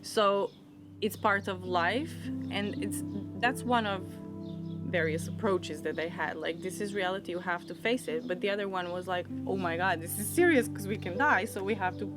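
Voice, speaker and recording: A woman in her thirties from Serbia with a Serbian accent, whose first language is Serbian. She tells her own story in a reflective, thoughtful way, often restarting her phrases and laughing softly. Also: mid-range voice, moderate pace. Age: 30s. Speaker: interviewee. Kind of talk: personal storytelling. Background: music.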